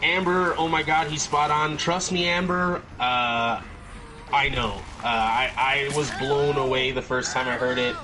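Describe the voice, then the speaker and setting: A man's voice: medium pitch, fairly even intonation, measured pace, noisy background. A man hosting a casual gaming podcast, easygoing and full of filler words. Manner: easygoing, filler-heavy, laughs often. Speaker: podcast host.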